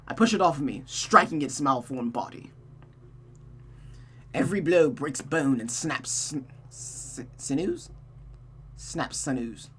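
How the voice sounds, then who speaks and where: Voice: mid-range voice, takes it slowly, pauses often, breathy edge. A streamer reading a visual novel aloud expressively, with dramatic narration and casual asides. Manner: expressive, often restarts misread sentences.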